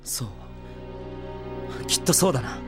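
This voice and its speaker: An actor playing an earnest, polite young heroic knight in an anime audio drama. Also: male voice, unhurried, music in the background, lightly acted.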